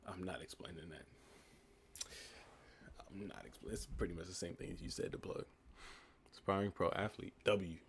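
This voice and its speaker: A young man from the United States with a calm, laid-back voice, reading live chat questions aloud and answering them in a relaxed, reflective way. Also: low-pitched, moderate pace, long pauses, slight background noise. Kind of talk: casual live stream chat.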